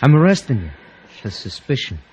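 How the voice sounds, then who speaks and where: An actor playing a bullying, slow-witted American henchman in an old-time radio drama, with rough, slangy menace. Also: medium pitch, big pitch swings, a little mellow, slight background noise, close to an everyday voice. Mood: menacing.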